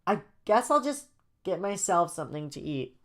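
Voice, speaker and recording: A man's voice: high voice, big pitch swings, normal speed, voice pushed up. A man voicing a whiny, sulky, bratty seven-year-old boy from a cartoon script. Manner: whining, sulky.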